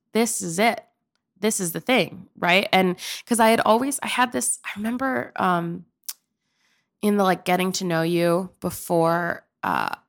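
Chatty young woman with vocal fry. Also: on the low side, fairly bright tone. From Pennsylvania. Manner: chatty and rambling, with many filler likes. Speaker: guest, opera-trained improv performer. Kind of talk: casual interview chat.

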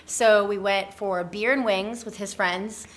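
A woman's voice: mid-range voice, steady tone, unhurried. A woman guest talking casually and playfully in an interview, with an informal, enthusiastic manner.

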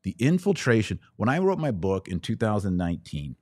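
A man's voice: on the low side, very animated, a little fast, darkish tone, no echo. An American man hosting a casual livestream, chatting informally with playful slang as he answers viewers. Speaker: podcast host.

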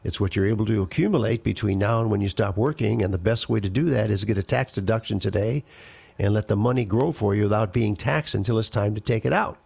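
Quick-talking gravelly radio finance host. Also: male voice, lowish voice, a little mellow, dry recording. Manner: emphatic, quick advice-giving delivery. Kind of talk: call-in radio finance talk.

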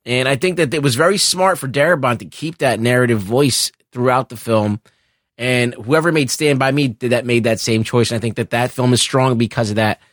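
Male panelist, medium pitch, casual and halting film talk, dry close recording. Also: dry recording. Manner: halting, repeats words while reasoning. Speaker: podcast panelist.

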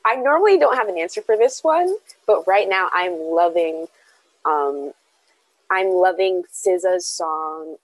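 Young woman guest, low pitch, dry close sound, earnest and halting with ums. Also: expressive, no echo, poor audio. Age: young adult. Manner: earnest, with frequent ums and restarts. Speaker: interview guest. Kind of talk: casual interview answers.

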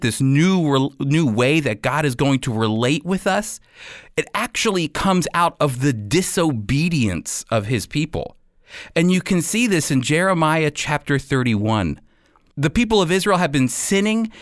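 A man giving a radio Bible teaching, explaining and reading scripture with emphatic, deliberate phrasing. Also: on the high side, no echo, studio quality.

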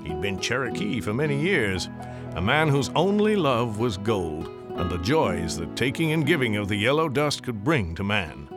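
A man narrating a Western radio story as a folksy, measured storyteller. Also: mid-range voice, sing-song, over music, close to an everyday voice. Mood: warm, reflective. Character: storyteller narrator of a warm Western Christmas tale.

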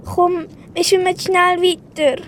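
A woman's voice: very high-pitched, little pitch movement, slow pace, a little hiss or hum. A teenage girl playing a small, frightened but loyal blue fish in an amateur school radio play. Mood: anxious, loyal.

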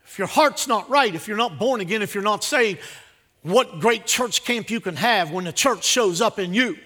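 High-pitched, bright-voiced male preacher. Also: lively intonation, moderate pace. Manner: exhortative, emphatic, with repeated phrases; swings between hushed asides and loud declarations. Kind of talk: sermon to a congregation.

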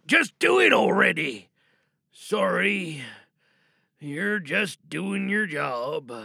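A man's voice: sits high, gravelly, bright, forceful tone, no echo, studio quality, exaggerated voice. A man performing an audio theatre monologue as an overwhelmed young student, pleading and holding in rage. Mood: subdued, pleading. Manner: pleading, strained, emotionally pent-up.

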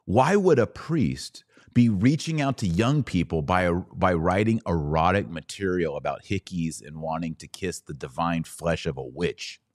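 A man's voice: low-pitched, a little slow, hardly any pauses, a little mellow, no room sound, clean studio sound. A man hosting a podcast of opinionated commentary, with a mocking, sarcastic and emphatic delivery. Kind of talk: opinionated live commentary, reading aloud and reacting.

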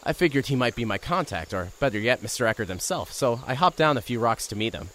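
An actor playing an awkward, wry radio host turned investigator, narrating an audio drama in the first person with some hesitancy. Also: male voice, mid-range voice, animated, fast, fairly bright tone, slight background noise, natural voice. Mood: wry, storytelling.

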